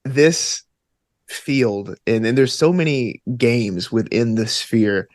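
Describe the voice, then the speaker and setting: A man's voice: mid-range voice, animated, takes it slowly, a little mellow, dry recording, clean studio sound. A man answering as an interview guest, earnest and reflective, thinking aloud with plenty of "like" and "you know". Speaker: podcast guest, actor and tabletop gamer.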